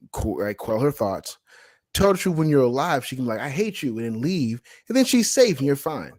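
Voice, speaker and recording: A man's voice: mid-range voice, darkish tone, no echo. A man in casual banter as a guest reviewer, blunt, joking and swearing freely.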